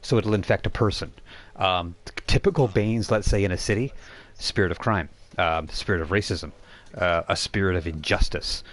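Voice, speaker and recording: A man with a low-pitched voice acts as game master for a tabletop game. He narrates casually and off the cuff, often halting to rephrase and explain details. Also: fairly bright tone. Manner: halting, explanatory, self-correcting.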